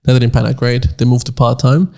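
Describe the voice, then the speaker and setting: Man, twenties, from Nicaragua, gravelly, mellow tone, rambling podcast chat. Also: medium pitch, flat delivery, brisk. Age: 20s. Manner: rambling, repeats words while searching for them. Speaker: podcast co-host. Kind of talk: casual chat.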